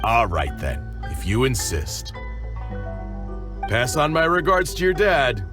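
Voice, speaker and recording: A weary, gentle male villager, resigned and unhurried. He speaks slowly at a middling pitch with very animated intonation, over game music. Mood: resigned, kindly. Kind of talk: video game voice acting.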